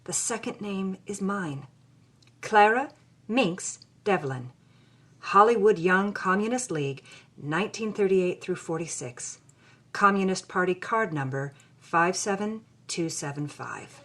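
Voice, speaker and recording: An actress playing a reflective granddaughter who reads a journal aloud with dry, wry irony in an audio drama. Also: female voice, medium pitch, animated, a little slow, a bit bright. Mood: wry, somber.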